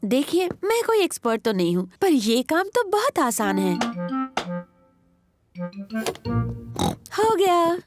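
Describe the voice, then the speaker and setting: High, lilting cartoon mother pig. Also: very animated, moderate pace, music in the background. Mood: wry, teasing. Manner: bright-spirited, motherly, wry. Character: brisk, gently teasing cartoon mother pig. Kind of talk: children's cartoon dub acting.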